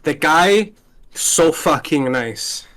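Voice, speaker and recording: A young Scottish man chatting casually on a tier-list panel, rambling and animated, swearing freely and restarting his sentences. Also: a little high, very slow. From Scotland. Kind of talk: casual chat.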